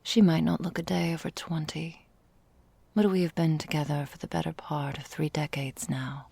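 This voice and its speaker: A woman playing an ancient, elegant vampire mistress in an ASMR roleplay, speaking formally and deliberately in a breathy, gravelly, whispery voice. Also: sits low, fairly even intonation, mellow, no room sound, full character voice. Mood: fond, wry. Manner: slow, formal, theatrical, deliberate.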